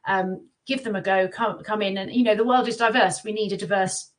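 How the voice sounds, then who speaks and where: A woman's voice: medium pitch, fairly even intonation, fairly quick. Chatty reassuring woman. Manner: chatty, reassuring, many fillers. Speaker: guest from a baby class platform. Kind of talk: casual live Q&A. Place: remote live video call.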